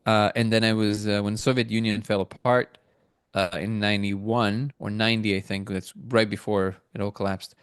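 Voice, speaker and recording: A middle-aged man from Russia who later moved to Israel, answering reflectively as an interview guest, haltingly restarting phrases as he thinks aloud. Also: fairly low pitch, flat delivery, clear, resonant tone, dry recording, studio quality. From born in Moscow, Russia, moved to Israel.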